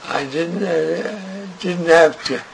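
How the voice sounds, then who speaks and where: An older man recalling his radio career in an interview, speaking haltingly and restarting often. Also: fairly high pitch, creaky. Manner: slow, halting, with many restarts. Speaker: interviewee, radio writer and director. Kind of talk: reminiscing interview. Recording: noisy recording.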